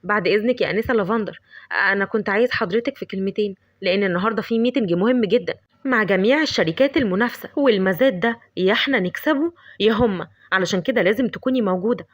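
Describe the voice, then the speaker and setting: A woman's voice: medium pitch, racing, lightly acted. A woman voicing a courteous young male colleague with an Egyptian Arabic accent and a sly, teasing edge.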